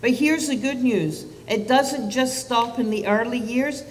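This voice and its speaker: Scottish woman, 60s, physician speaking at a lectern, animated, distant mic, echoing hall. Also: a little high, unhurried. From Scotland. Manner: animated, gestures with both hands, asks the audience questions. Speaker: physician giving a public talk on children's anxiety. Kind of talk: public lecture to parents. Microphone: lectern microphone. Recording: roomy hall sound from a distance.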